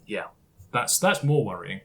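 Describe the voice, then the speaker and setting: Male tabletop player, lower register, casual conversational delivery. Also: big pitch swings, unhurried, fairly bright tone. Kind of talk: casual game-table chat.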